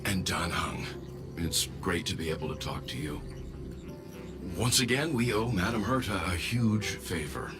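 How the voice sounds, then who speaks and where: An actor playing a steady, caring middle-aged mentor in a video game, speaking in a measured, considerate way. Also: male voice, low voice. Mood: kind, relieved. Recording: loud music under the voice.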